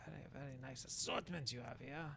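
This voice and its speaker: A man roleplaying a hazy-minded dark elf shaman in a video game, muttering and rambling hesitantly as he muses aloud. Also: mid-range voice, fast, creaky. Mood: musing, muttering. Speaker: player roleplaying his game character. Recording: noisy background with game ambience.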